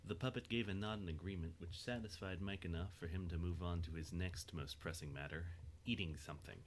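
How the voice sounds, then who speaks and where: Narrator: man with a low voice reading scripted story prose, faint noise behind him. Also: fairly quick.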